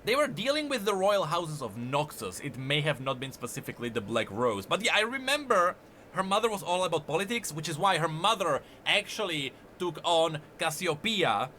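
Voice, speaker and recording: A man's voice: a little high, sing-song, normal speed, pushed and bright, a little hiss or hum. A man giving enthusiastic, emphatic off-the-cuff reaction commentary on game lore.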